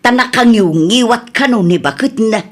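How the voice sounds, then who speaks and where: A woman's voice: a little high, expressive, moderate pace. A woman playing a nosy, chatty villager in a comedy radio drama, with an Ilocano accent. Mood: prying, opinionated.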